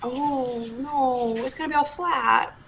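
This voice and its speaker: A woman with a somewhat high voice talks casually as a player at a tabletop game. The live stream recording carries clear noise behind her. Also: slow, a bit bright. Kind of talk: casual chat.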